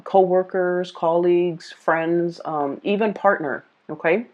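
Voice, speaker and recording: Woman, lowish voice, tarot reader, casual improvised delivery, halting and repetitive phrasing, slightly dull lo-fi recording. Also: a little mellow. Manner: halting, searching for words with repeats. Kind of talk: casual, improvised tarot reading addressed to viewers.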